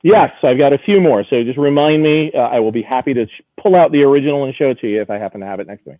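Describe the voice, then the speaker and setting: A man presenting auction lots in an informal live preview over a video call, enthusiastic and detailed, often pausing to find his phrasing. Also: mid-range voice, no echo, phone-quality sound.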